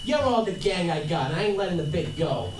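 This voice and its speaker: A man's voice: sits high, big pitch swings, a little fast, soft background music. A man playing a cocky, wisecracking small-time gang boss in a hammy amateur radio drama. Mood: scheming, bossy. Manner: wisecracking tough-guy talk. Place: convention panel room. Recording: distant, echoey room with clear noise.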